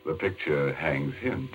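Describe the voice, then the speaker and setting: A man hosting a 1940s horror radio show in a macabre, jokey, theatrical manner. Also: very deep voice. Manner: mock-ghoulish, theatrical. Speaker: horror anthology host. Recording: muffled vintage radio, faint music behind.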